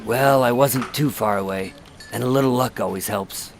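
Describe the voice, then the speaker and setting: A man's voice: mid-range voice, moderate pace, noisy background, lightly acted. A man playing a weary undercover FBI agent and narrator in a scripted radio drama. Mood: casual, assured. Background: prominent dramatic music.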